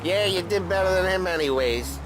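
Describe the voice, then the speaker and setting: An actor playing an easygoing, grumbling mobster sidekick in a game cutscene. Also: male voice, sits high, animated, brisk, crowd noise, background noise. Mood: casual. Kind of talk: video game cutscene acting.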